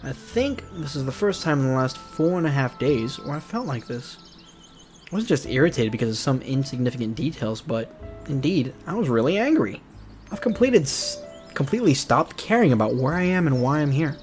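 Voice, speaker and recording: Expressive man reading a game aloud. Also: mid-range voice, a little fast. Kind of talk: visual novel read-aloud with casual asides. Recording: music behind.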